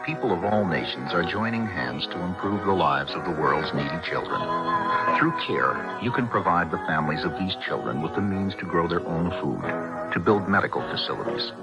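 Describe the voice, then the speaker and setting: An American man reads a scripted charity appeal in a very deep voice with plenty of creak. His intonation is lively, in a vintage radio spot over loud music. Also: moderate pace, poor audio. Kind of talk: scripted public service appeal.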